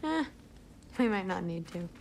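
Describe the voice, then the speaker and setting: A woman's voice: lowish voice, sing-song, unhurried, creaky, slight background noise, full character voice. An actress playing a cheeky, brash young warrior woman in a game. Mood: breezy. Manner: breezy, cheeky.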